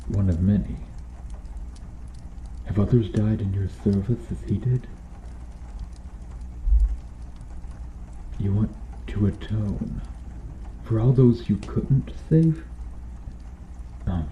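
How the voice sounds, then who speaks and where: A man playing a stern medieval knight in an ASMR roleplay, questioning formally and earnestly with dramatic pauses. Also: lowish voice, dark tone, roomy sound, dull audio, slight background noise. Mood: probing, solemn. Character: stern medieval knight interrogating an accused noble witch.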